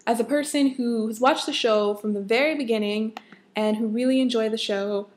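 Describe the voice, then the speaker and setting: Young female vlogger, somewhat high pitch, a touch hoarse; casual, exasperated, opinionated rant delivery. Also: fairly even intonation, normal speed, a little mellow. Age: young adult. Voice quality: a bit husky. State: slightly hoarse. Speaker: vlogger, fan of a TV show.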